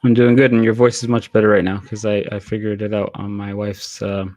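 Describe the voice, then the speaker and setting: Lower-voiced man in casual chat. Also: brisk, dry recording. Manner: relaxed, hesitant, with fillers. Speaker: podcast co-host.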